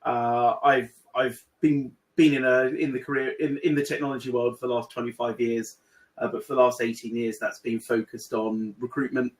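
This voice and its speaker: Man, British accent, webinar guest, halting with fillers, video call audio. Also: mid-range voice, level tone, rough recording. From United Kingdom. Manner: halting, many repeated words and fillers. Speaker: guest speaker on AI in recruiting. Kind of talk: webinar presentation.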